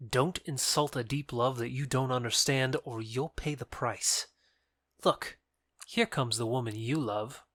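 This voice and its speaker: A man's voice: mid-range voice, unhurried, a bit bright, no room sound, clean studio sound. A man playing an ardent, proud young lover in a modern-English Shakespeare audio drama, effusive and declamatory. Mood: proud, dismissive.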